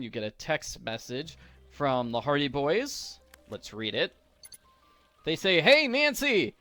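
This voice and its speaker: A man hosts a game walkthrough in a fairly high, swooping voice. He is casual and playful, given to theatrical delivery, recorded dry and close. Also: bright, forceful tone, dry recording. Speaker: video game walkthrough host. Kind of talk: casual gameplay commentary.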